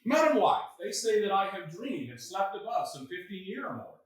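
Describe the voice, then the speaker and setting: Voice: medium pitch, sing-song, normal speed, natural voice. A performer playing a gullible, bawdy drunken tinker who believes he is a lord, delivered broadly in a staged Shakespeare comedy. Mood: pompous, amorous. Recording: roomy stage recording from far off.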